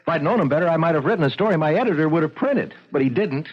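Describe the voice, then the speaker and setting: An American actor playing a nervous, eager would-be reporter in his thirties in a 1970s radio drama, stammering in a husky voice. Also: fairly high pitch, very fast. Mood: glum. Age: 30s. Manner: jittery, stammering, animated. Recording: dull vintage radio broadcast.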